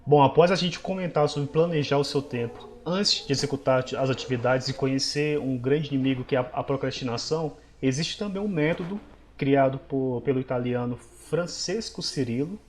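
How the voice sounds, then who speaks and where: A man's voice: medium pitch, brisk, a little hiss or hum. A man co-hosting a podcast, introducing a topic and putting questions to the others. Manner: moderating, asks questions. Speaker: podcast co-host. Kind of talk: casual podcast discussion.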